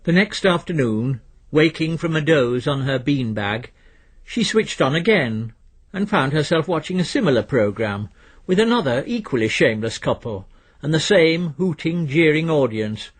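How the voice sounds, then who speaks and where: A man reading literary fiction as a narrator, in a measured, precise and dry storytelling manner. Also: on the high side, expressive, slightly rough. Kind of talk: scripted literary audiobook narration.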